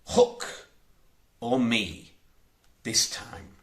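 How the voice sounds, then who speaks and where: A man's voice: medium pitch, extremely slow, a bit bright, echoey room. A man playing a bold, adventurous boy hero from a children's fantasy storybook, speaking with urgent, declamatory delivery. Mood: determined. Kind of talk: storybook character voice.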